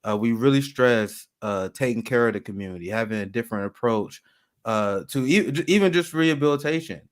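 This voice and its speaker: A man's voice: medium pitch, lively intonation. Guy hosting a live show, mid voice, keeps restarting his sentences. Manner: halting, many restarts. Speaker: host.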